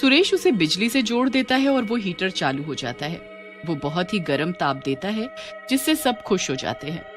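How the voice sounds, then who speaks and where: A narrator tells a scripted horror cartoon story with very animated intonation. The voice sits at a middle pitch over soft background music. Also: moderate pace.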